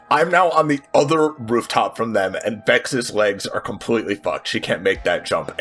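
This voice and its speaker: A man's voice: medium pitch, fairly bright tone. A man chatting informally as a player in a tabletop game, swearing and repeating himself as he reasons aloud. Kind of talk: casual game-table chat.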